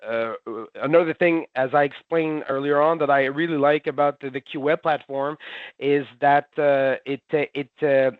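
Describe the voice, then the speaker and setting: A man, sick with a cold, presenting haltingly in a webinar with many fillers and restarts. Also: fairly high pitch, dry recording. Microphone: video call. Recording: tinny phone-like line.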